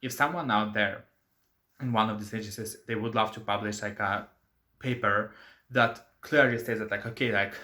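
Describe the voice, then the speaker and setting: A man's voice: fairly low pitch, fairly even intonation, normal speed, sharp tone. A young man from Russia with a Russian accent, speaking English as a second language in a casual opinion vlog, earnest and full of fillers and self-corrections. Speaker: channel host.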